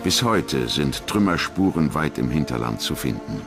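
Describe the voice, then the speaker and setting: Male narrator, deep low voice, measured grave documentary reading, music underneath. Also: animated, gravelly.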